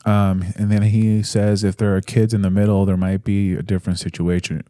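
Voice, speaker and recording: A man in his thirties co-hosting a podcast in casual chat, reading listener comments aloud in a relaxed, hesitant way with fillers. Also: lowish voice, brisk, dry recording, clean studio sound. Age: 30s. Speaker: podcast co-host.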